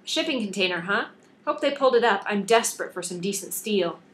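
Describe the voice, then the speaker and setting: A woman reading the part of a capable, impatient female ship mechanic in an audiobook, giving brisk instructions. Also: medium pitch, animated, natural voice. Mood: exasperated.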